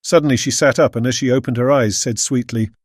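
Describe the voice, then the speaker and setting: A man with a British accent playing an earnest Victorian gentleman, narrating his diary in a measured, solemn way. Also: mid-range voice, steady tone, no echo, clean studio sound, lightly acted. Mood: solemn, anxious. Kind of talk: scripted dramatic audiobook narration.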